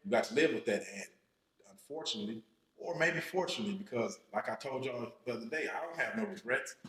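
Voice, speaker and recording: A man in his thirties, a podcast guest telling his own story in a slow, reflective, casual way, often checking in with "you know what I'm saying". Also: medium pitch, expressive, fairly bright tone, slight background noise. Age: 30s. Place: podcast studio room. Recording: roomy studio echo.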